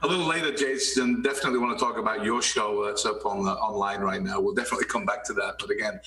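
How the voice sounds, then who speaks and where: Bright-voiced upbeat podcast host. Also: male voice, lowish voice, steady tone, quick pace, reverberant, distant mic, a little hiss or hum, rough recording. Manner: upbeat, complimentary interviewer. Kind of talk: casual interview chat.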